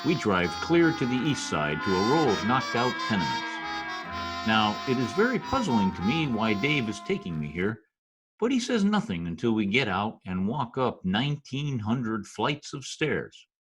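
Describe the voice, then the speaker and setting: An actor playing a wry, streetwise New storyteller, narrating and talking in an old-time radio drama. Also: male voice, lowish voice, normal speed, faint music behind. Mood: wry storytelling. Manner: wry, deadpan. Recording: slightly rough remote video-call audio.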